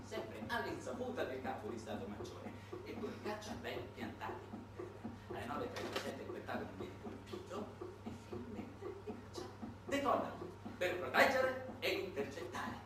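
A man performing a dramatic storytelling monologue, emphatic and sardonic, with halting pauses. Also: a little high. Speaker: stage monologue performer and narrator. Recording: echoey room, distant mic, noisy background.

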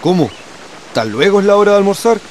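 A man's voice: sits high, expressive, quick pace, a little mellow, a little hiss or hum. A man playing a good-natured, middle-aged father with a Chilean accent who explains things to his family. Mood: surprised, concerned.

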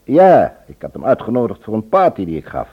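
An actor playing a polite but guarded man with a husky voice in a radio drama. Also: lowish voice, wildly swooping pitch, fairly quick, heavily acted. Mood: guarded, puzzled. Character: polite, smooth-mannered, guarded man receiving a questioner. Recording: dull, lo-fi old radio recording.

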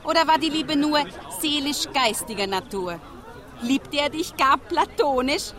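A spiteful, indignant woman with a very high voice. She scolds with biting irony and domineering force, speaking in rhyme as in a radio drama. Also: animated, unhurried, a bit bright, a faint crowd. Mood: furious accusing. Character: indignant, spiteful, sarcastic adult daughter of deceased man.

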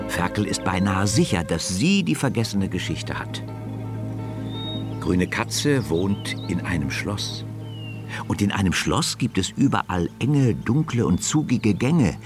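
A man's voice: on the low side, very animated, measured pace, music in the background. A man narrating a scripted children's story as the narrator of a radio play.

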